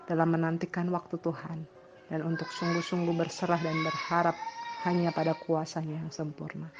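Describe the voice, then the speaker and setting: A woman calmly reading her personal testimony as a voice-over, in a measured, even manner. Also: low-pitched, dull audio. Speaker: cancer survivor sharing testimony. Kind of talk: read testimony over music. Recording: voice-over with loud background music.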